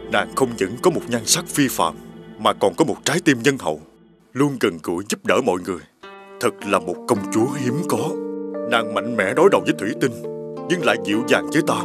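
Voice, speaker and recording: An actor playing an earnest, dreamy young suitor full of admiration, in an animated fairy tale. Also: male voice, lowish voice, a little fast, lightly acted. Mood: lovestruck. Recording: loud guitar music over voice.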